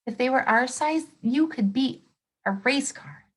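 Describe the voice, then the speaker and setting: A woman's voice: high-pitched, unhurried, a bit bright. A woman teacher reading a nonfiction book aloud to children, expressively, with exclamations, explanatory asides and questions to her young listeners.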